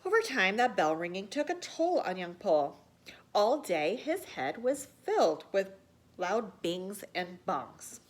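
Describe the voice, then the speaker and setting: A woman reading a children's picture book aloud like a teacher, with expressive, theatrical storytelling. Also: mid-range voice, slow.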